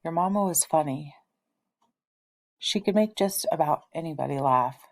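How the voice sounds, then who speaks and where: A gentle, reserved preacher and father from a storybook, read by a woman in a low register. His speech is measured and deliberate. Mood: wistful.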